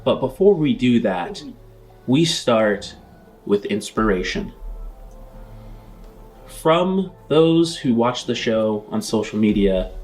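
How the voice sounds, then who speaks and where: A man hosting a game podcast as game master in a casual, conversational style. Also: lowish voice.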